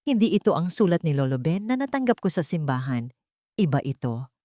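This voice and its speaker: A woman narrates a dramatic first-person story. Her voice sits on the low side for a woman, and the sound is very dry, with no room around it. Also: big pitch swings, fairly quick, dark tone, no echo. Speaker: narrator of romantic drama stories.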